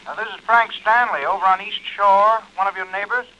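An actor playing a folksy, plain-spoken neighbour through a telephone filter in an old-time radio drama. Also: male voice, sits high, brisk, bright, forceful tone, low-quality recording. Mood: matter-of-fact, sympathetic.

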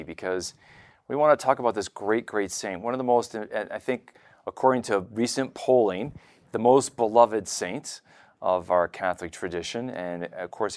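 A male podcast host talks conversationally in a low voice. He stresses his points by repeating words, and the sound is dry and close. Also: no room sound. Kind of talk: conversational interview.

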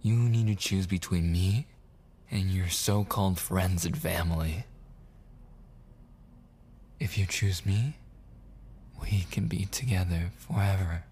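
A man playing a possessive, obsessively jealous boyfriend in an intimate ASMR audio roleplay, pleading and menacing in halting, soft speech that slips into whispers. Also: low-pitched, a little hiss or hum. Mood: coaxing, controlling. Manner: pleading, halting and repetitive, slipping into breathy whispers.

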